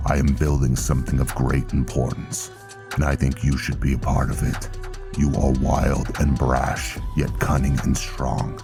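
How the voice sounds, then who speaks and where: A man voicing a solemn, commanding vampire blood knight elder in a fantasy roleplay, with a gravelly, grave, measured and formal delivery. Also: extremely low pitch, monotone, music in the background. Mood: grave, persuasive. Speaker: character narrator in a roleplay story. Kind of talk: dramatic scripted fantasy roleplay.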